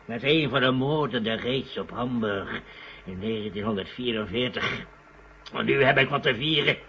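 Creaky old drunk professor, gleeful n lecherous, muffled old radio drama. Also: male voice, medium pitch, unhurried, bright, forceful tone. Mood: boozy, bitter. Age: older. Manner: lecherous, gleeful, rambling, chuckling. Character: gloating, tipsy old male German bomb scientist. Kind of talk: 1970s Dutch radio drama acting. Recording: dull, noisy old radio recording.

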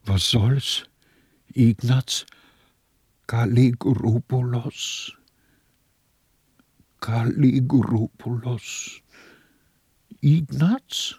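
An actor in a radio play voicing a weary, shrewd small-time dealer with a rough, resigned way of talking. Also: male voice, medium pitch, very slow, long pauses, darkish tone, no room sound, exaggerated voice. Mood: tired, musing. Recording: dry studio with faint music.

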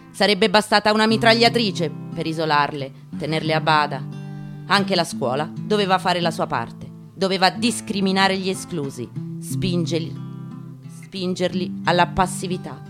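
A woman reading literary prose dramatically, in deliberate, emphatic short phrases. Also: lowish voice. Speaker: stage actress reading from a book. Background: music behind the voice.

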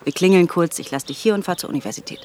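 A woman's voice: on the low side, rapid-fire, very noisy, lightly acted. An actress playing a playful, chatty woman talking coaxingly to a dog in a German radio drama. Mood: playful, teasing. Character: bright young woman chattering teasingly to a dog.

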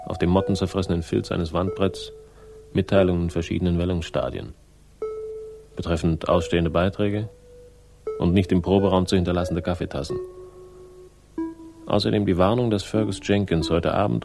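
An actor playing a world-weary male sleuth in a German radio crime drama, speaking with dry, laconic irony and a gravelly voice. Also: sits low, moderate pace, music in the background. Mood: wry narration. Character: sardonic, world-weary male crime sleuth telling his own story. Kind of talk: 1960s German radio crime drama, first-person narration and dialogue.

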